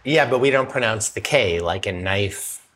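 An American man hosting a relaxed podcast chat at a cider bar, joking and a little tipsy. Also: fairly low pitch, moderate pace. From San Francisco. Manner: chatty, joking. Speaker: podcast host. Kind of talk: casual tasting chat.

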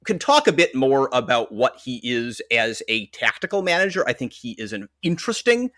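A man's voice: mid-range voice, very animated, normal speed, fairly bright tone, no room sound, clean studio sound. A man from Western Massachusetts with an American accent, talking sports casually as a podcast guest. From Western Massachusetts, United States. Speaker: podcast guest, soccer commentator.

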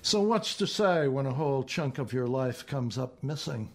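A man in his seventies with an American accent, reading his own poetry and prose in a deliberate, measured way. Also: mid-range voice, animated. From northeastern United States. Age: 70s. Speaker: poet reading own work.

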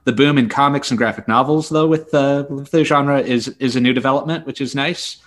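A man speaks as a podcast co-host at a middling pitch. He talks through book news casually and thoughtfully, pausing and correcting himself as he goes. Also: fairly bright tone.

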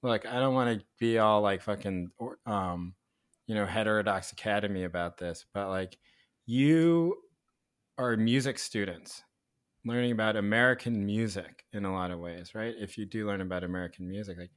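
Animated low-voiced man in casual debate. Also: moderate pace. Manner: animated, swearing, laughing, bursts into loud asides. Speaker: podcast co-host. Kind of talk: casual chat.

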